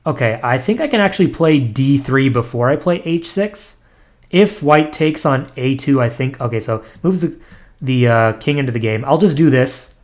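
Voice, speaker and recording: A man's voice: mid-range voice, expressive, normal speed. A young man thinking aloud as he plays blitz chess online, muttering moves and self-deprecating asides with frequent ums and restarts. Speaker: chess streamer.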